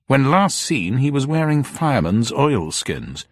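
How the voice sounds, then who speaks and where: A man's voice: mid-range voice, very animated, measured pace, no echo, studio quality, pitched above the performer's own voice. A man voicing a plump, composed, suspicious man who questions in a pointed, insistent way. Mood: insistent, probing.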